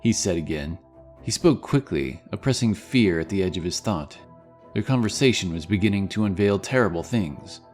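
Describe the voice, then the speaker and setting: A man's voice: low-pitched, lively intonation, normal speed, darkish tone, faint music behind. A man giving dramatic scripted narration of a fantasy story.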